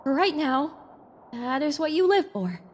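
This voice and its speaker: A woman's voice: high-pitched, very animated, a little slow. A woman voicing a troubled young filly survivor, blunt and emotional, in an audio drama. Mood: somber resolve. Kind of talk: audio drama character acting.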